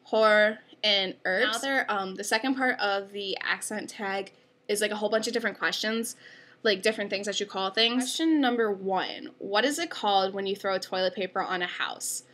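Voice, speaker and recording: Young woman, upstate New York accent, bright tone, chatty and rambling vlogger read. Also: mid-range voice, normal speed. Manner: chatty, rambling with many fillers. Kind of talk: casual accent tag video.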